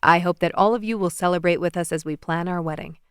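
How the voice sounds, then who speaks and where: A woman voicing a confident, playful young man in an audiobook, speaking softly in a slightly lower register. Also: fast, clear, resonant tone, dry recording, clean studio sound, close to an everyday voice. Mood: teasing. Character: charming young male president, confident, playful suitor. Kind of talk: audiobook character voice.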